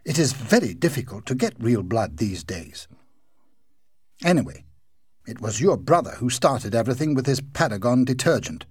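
A man's voice: mid-range voice, very animated, normal speed, darkish tone, husky, dry recording. A man voicing a proud, self-pitying old male ghost who speaks pompously and theatrically.

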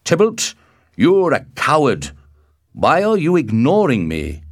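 A man voicing a brash, provocative young swordsman in a storybook, loud and challenging. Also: on the high side, huge pitch swings, takes it slowly, no room sound, clean studio sound, voice pushed up, exaggerated voice. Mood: defiant, goading.

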